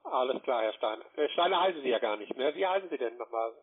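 A man's voice: medium pitch, animated, fast, slightly rough. A man working as a call center agent, speaking defensively and repetitively in an unscripted phone call. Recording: tinny telephone line.

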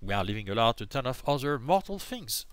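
A man's voice: medium pitch, very animated, brisk, clear, resonant tone, no echo. A man giving a recorded slide lecture, explaining step by step and checking in with "right?" as he goes. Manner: explanatory, frequent "right?" checks and self-corrections. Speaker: philosophy lecturer.

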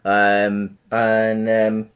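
A man's voice: on the low side, monotone, drawn-out words, clean tone, dull audio. A man in his thirties co-hosting a podcast over a video call, rambling with many ums and restarts. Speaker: podcast co-host. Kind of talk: casual chat. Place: home room, video call.

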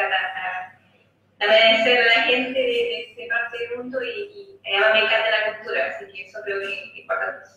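A woman's voice: medium pitch, pushed and bright, very echoey, highs cut off. Young American woman over a distant video call. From United States. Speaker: guest translator. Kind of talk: interview.

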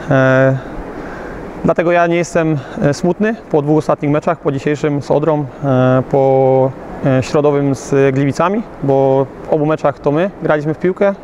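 A man's voice: fairly high pitch, darkish tone, slight background noise. A man in his thirties, a youth football coach, talking after a match with many hesitations, recorded outdoors. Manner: hesitant, many fillers and restarts. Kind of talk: post-match interview. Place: outdoors beside a covered pitch.

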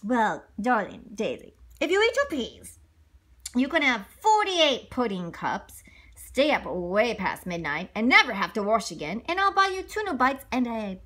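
A woman's voice: fairly high pitch, very animated, a little slow, fairly bright tone, exaggerated voice. A woman voicing a doting, theatrical storybook mother who cajoles and gushes. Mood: cajoling. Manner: cajoling, gushing, dramatic. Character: doting, theatrical mother coaxing with extravagant bribes.

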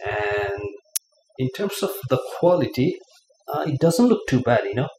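A man giving a casual unboxing review of a gadget, talking haltingly with many fillers, at a table in his living room. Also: mid-range voice, very animated, a little mellow. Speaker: product reviewer. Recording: echoey room, faint room noise.

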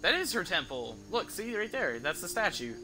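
A young man giving casual gameplay commentary in a rambling, halting way, streaming from an indoor room. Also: high voice, very animated, sharp tone, a little hiss or hum, rough recording. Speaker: game streamer. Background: game music.

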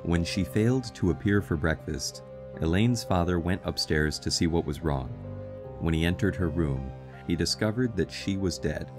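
A man playing an earnest, worried fiancé in an audio drama, speaking politely and seriously in a measured way. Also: low-pitched, over music, lightly acted. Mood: somber narration. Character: earnest, worried young fiancé telling his strange story. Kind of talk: audio drama acting and narration.

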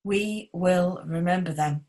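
A woman's voice: lowish voice, darkish tone. Solemn woman leading worship. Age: middle-aged. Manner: solemn, measured. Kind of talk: formal liturgical reading.

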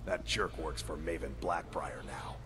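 An actor playing a dim, gruff tavern bouncer, blunt and menacing, in a fantasy video game. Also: male voice, very deep voice, noisy background. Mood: sullen. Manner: blunt, slow-witted, menacing.

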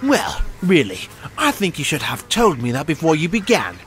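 A man's voice: a little high, huge pitch swings, moderate pace, fairly bright tone, a little hiss or hum. Man acting a pompous, wildly swooping water-rat. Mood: huffy, indignant. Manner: pompous, indignant. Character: grumpy, self-important old bachelor water-rat.

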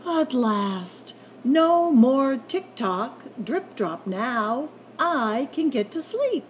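A woman's voice: high-pitched, very animated, very slow, a little hiss or hum, heavily acted. A woman voicing a fussy, sleepless male rabbit in a children's storybook, with whiny, dramatic delivery. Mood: relieved.

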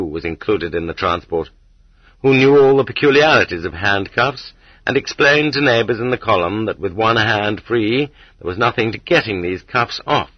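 A man's voice: sits low, breathy edge, no room sound. A man reading a nonfiction audiobook as scripted narration, on a dull cassette recording. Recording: dull lo-fi cassette transfer, dry.

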